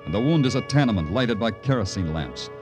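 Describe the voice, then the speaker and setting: An actor playing a weary, sardonic but compassionate police lieutenant in an old-time radio crime drama, with a wry, clipped manner. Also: male voice, on the low side, big pitch swings, a little fast. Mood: brooding. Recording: vintage radio drama with music.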